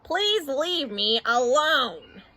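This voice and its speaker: A woman acts out an earnest, put-upon male puppet in a children's storybook. He is fussy and unamused, with slow, dry delivery. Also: mid-range voice, big pitch swings, fairly bright tone. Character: fussy, earnest, long-suffering male puppet, easily exasperated.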